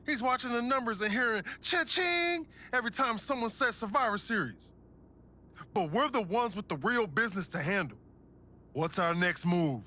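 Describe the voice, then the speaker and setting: A proud, defiant pro wrestler in a game cutscene. His voice is fairly high, bright and forceful, slangy and emphatic, over faint background noise. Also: expressive, normal speed. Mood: confident. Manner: slangy, emphatic motivational delivery. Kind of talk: video game cutscene dialogue.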